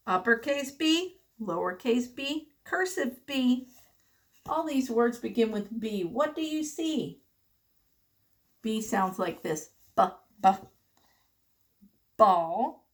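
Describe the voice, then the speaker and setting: Woman, higher-pitched voice, very slow pace, children's read-aloud lesson, deliberate enunciation. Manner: deliberate enunciation, prompting with questions. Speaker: teacher reading an alphabet picture book to young children.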